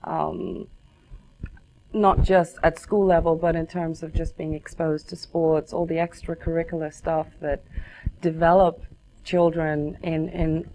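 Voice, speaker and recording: A young adult singer-songwriter from Ghana who answers interview questions in a reflective, earnest way. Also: female voice, fairly low pitch, vocal fry, dark tone, poor audio.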